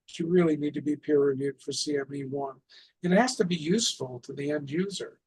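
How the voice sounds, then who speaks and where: A middle-aged man with a husky voice gives an informal explanatory presentation to club members, often posing rhetorical questions. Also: fairly high pitch, fairly quick, darkish tone, poor audio. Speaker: presenter of an amateur radio club session.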